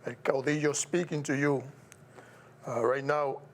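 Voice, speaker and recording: A man from Puerto Rico with a Puerto Rican accent, hosting his own show in a measured, emphatic way, now and then repeating words or stumbling over them. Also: medium pitch, fairly even intonation. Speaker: show host. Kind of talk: slow, deliberate solo commentary.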